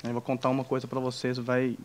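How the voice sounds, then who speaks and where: A young man from China speaks with a clear Chinese accent and a pitch right in the middle for a man. He tells his story informally to a crowd, in stops and starts, full of restarts and little jokes. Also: monotone, darkish tone, a little hiss or hum. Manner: halting, many fillers and restarts, jokes with the audience. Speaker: entrepreneur speaking on a panel. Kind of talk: informal personal story told to an audience.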